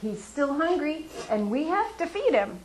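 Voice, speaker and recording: Steady sensible father bird, as a woman reads him, slow and lively in pitch. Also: slight background noise, rough recording. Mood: firm. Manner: storybook read-aloud, steady. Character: calm practical father bird.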